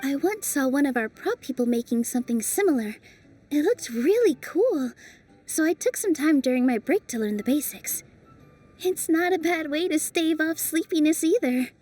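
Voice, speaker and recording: A woman voicing a graceful young dancer in a video game, soft, thoughtful and playful. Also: high-pitched, animated, normal speed, distant mic. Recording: voice set back from mic, faint game music.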